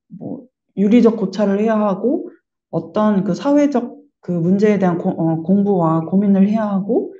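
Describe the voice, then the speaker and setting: A woman's voice: medium pitch, mellow, muffled recording. A woman from a performing arts team giving a thoughtful review in a group discussion, often searching for words.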